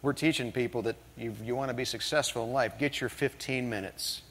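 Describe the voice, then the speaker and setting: A man's voice: medium pitch, fairly quick, slight background noise. Man telling funny stories in a hall. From northern United States. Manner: humorous, animated storytelling with jokes. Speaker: motivational speaker. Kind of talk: storytelling keynote talk. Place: large hall before an audience. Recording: hall reverb.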